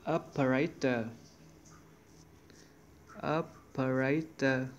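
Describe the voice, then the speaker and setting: A male English teacher explains a course-book exercise step by step. He speaks slowly in an even, flat tone, over a faint hiss of noise. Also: medium pitch, dull audio. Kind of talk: online lesson narration.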